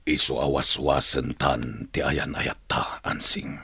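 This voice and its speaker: An actor with an Ilocano accent playing a grave, brooding prisoner in a radio drama, speaking haltingly. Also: male voice, rumbling low, steady tone, gravelly, bright, forceful tone, husky, dry recording, full character voice. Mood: solemn, apologetic. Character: brooding jailed man, grave object of her love.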